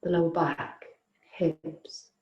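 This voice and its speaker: A woman teaching yoga nidra, guiding a relaxation in a soothing, unhurried, gently inviting way with long pauses. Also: deep voice, flat delivery, roomy sound, lo-fi sound. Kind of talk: guided relaxation meditation.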